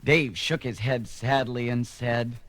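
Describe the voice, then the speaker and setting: A man's voice: medium pitch, takes it slowly, heavy background noise. A man narrating a scripted children's story.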